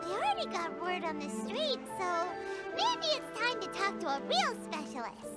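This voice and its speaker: A woman voicing a tiny, excitable fairy girl companion in an anime game, with a squeaky childlike voice. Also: moderate pace, over loud music, heavily acted. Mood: matter-of-fact, curious. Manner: excitable, talkative.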